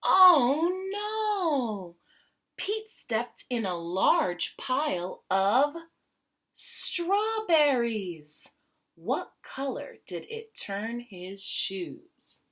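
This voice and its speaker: A woman reading a children's picture book aloud expressively, stretching key words and dropping into quieter asides with questions for young listeners. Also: a little high, very animated, drawn-out words.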